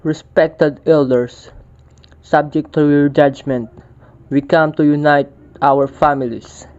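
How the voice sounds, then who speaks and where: A man playing a young, solemn epic hero and suitor in readers' theater, with formal, deliberate delivery. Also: fairly high pitch, fairly even intonation, a little mellow, lo-fi sound.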